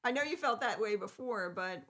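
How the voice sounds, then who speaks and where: A woman hosts an interview in a medium-pitched voice. She asks empathetic questions and thinks aloud as she draws out her guest's story. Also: animated, brisk, fairly bright tone. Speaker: podcast host.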